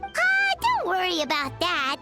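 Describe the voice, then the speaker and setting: An actress voicing a tiny, childlike fairy companion in a video game, squeaky, chirpy, excitable and plucky. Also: female voice, measured pace, loud music behind the voice, exaggerated voice. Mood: eager, confident.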